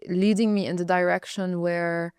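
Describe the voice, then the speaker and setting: A young adult woman from Congo, speaking slowly and thoughtfully as a podcast guest, with pauses and fillers. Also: lowish voice, level tone, darkish tone, clean tone, no room sound, clean studio sound. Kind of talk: reflective personal interview.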